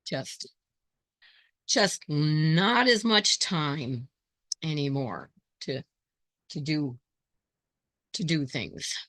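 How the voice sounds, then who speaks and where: A hoarse woman hosting her quilting channel, thinking aloud in casual chat as she sorts scrap fabric and greets viewers by name. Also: low-pitched, animated, extremely slow, pauses often, fairly bright tone, dry recording.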